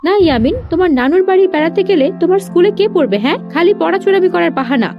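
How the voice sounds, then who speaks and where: A very high, animated cartoon mother voiced by a woman who nags and scolds her little boy. She sounds exasperated but caring, with faint music behind her. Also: racing, muffled recording. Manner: nagging, exasperated.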